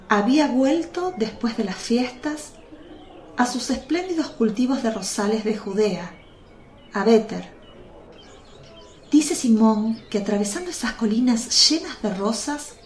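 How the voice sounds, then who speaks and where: Lady acting tender calm holy mother, radio drama, gentle, echoey w birds. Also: a little high. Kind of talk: Spanish religious radio drama.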